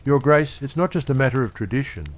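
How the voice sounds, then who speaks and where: Un homme qui interprète un trésorier royal mince et calculateur, au ton prudent. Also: male voice, mid-range voice, expressive, quick pace, voice pushed up, lightly acted. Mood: cautious. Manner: cautious. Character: slender calculating male royal treasurer.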